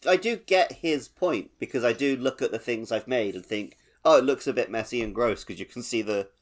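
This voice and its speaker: A man's voice: mid-range voice, a little fast. A man co-hosting a gaming podcast, chatting casually and informally. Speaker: podcast co-host. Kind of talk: casual video game podcast chat.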